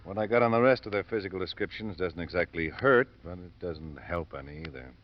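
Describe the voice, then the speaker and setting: An actor playing a harried police lieutenant, weary, sardonic and grumbling, in 1950s radio drama style. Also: male voice, low-pitched, expressive, a little fast. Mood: weary, explaining. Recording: muffled, noisy old radio recording.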